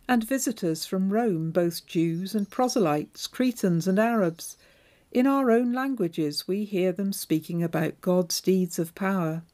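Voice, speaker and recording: Woman preacher, lowish voice, measured and clear. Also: warm tone. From Devon, England. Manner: measured, clear liturgical delivery. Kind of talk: scripture reading and sermon.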